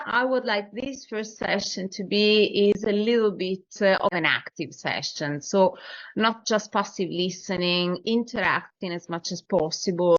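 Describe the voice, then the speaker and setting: A woman with an Italian accent leads a webinar, speaking at a middle pitch and filling her pauses with "uh". Her voice comes through slightly dull. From Italy. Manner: uses "uh" fillers, warm and inviting. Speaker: SEO agency director, webinar co-host. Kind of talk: webinar presentation.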